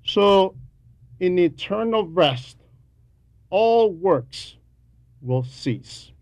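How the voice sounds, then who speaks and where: A man delivering a teaching sermon in a deliberate, emphatic way, repeating key phrases for weight. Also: high-pitched, expressive, a little mellow, no echo. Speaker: homilist reading a translated homily.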